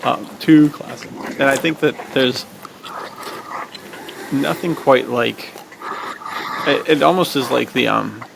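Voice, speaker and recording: Very creaky-voiced man in slangy chat, noisy recording. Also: medium pitch, slow pace, long pauses. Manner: slangy, agreeable, chuckles between phrases. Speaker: podcast co-host. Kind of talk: casual film chat. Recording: clear background noise with clicks.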